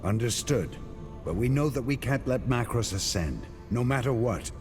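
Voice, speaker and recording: An actor playing a stern, resolute warrior knight in a fantasy game, speaking in a terse, solemn manner. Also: male voice, mid-range voice, lively intonation, normal speed, over music, lightly acted. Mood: grave, determined.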